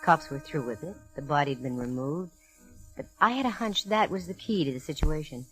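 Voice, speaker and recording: A woman's voice: deep voice, lively intonation, normal speed, fairly bright tone, faint music behind, poor audio. A woman playing a self-assured, wry American private eye who tells her case in hardboiled old-time radio style. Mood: hushed suspense. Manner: wry, breezy, wisecracking. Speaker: radio detective lead and narrator. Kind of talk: 1940s-50s American radio drama, hardboiled narration.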